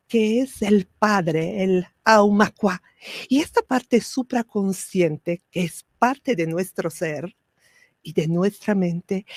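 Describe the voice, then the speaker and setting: A woman whose first language is Italian gives a spiritual teaching talk, slow and measured, with pauses and fillers. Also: medium pitch, darkish tone, dry recording, clean studio sound.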